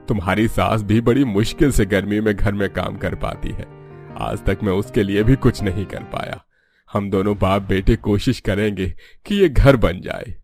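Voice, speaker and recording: A man playing a gentle, weary older village father-in-law in a cartoon, fatherly and homely. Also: lowish voice, gravelly. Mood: apologetic. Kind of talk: Hindi cartoon story dubbing.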